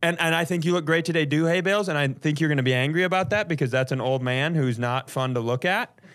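Higher-voiced man telling comic stories. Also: quick pace, a bit bright. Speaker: podcast host. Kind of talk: casual comedic chat.

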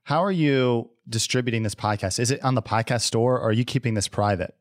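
A man's voice: medium pitch, brisk, dry recording, clean studio sound. A man co-hosting a podcast, asking a guest questions in a friendly, joking way. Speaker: podcast co-host. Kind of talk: interview questions.

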